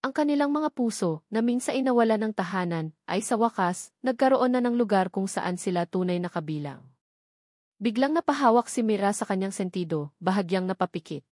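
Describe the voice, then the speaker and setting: A Filipino woman narrates a love story in the manner of an audiobook. Her voice sits at a middle pitch, and the delivery is scripted and dramatic. The recording is close and dry, with very little room sound. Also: lively intonation, brisk, darkish tone, dry recording.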